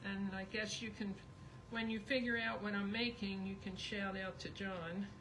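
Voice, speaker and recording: A woman teaching sewing live, chatty and rambling, thinking aloud as she works, with her voice going hoarse. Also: mid-range voice, steady tone, normal speed, a bit bright. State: losing her voice. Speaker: sewing instructor. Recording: noisy background.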